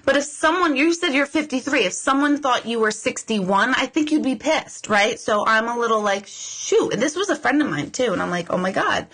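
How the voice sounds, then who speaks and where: Woman, 40s, livestream host, rambly and chatty, with a bright, slightly high voice. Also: animated, slightly rough. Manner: chatty and rambling, quick asides and mock outrage. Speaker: intuitive medium hosting a live Q&A. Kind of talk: casual livestream chat with viewers.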